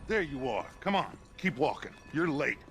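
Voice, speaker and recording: A man's voice: fairly high pitch, big pitch swings, normal speed, fairly bright tone. A man voicing a grandiose, commanding middle-aged outlaw gang leader who talks in a theatrical, persuasive way. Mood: urgent, persuasive. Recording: game cutscene with music score. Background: orchestral game music.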